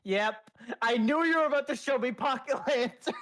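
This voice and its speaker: A man co-hosting a casual stream, laughing loudly and swearing offhandedly, who also reads a fanfic aloud. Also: very high-pitched. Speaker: co-streamer and reader.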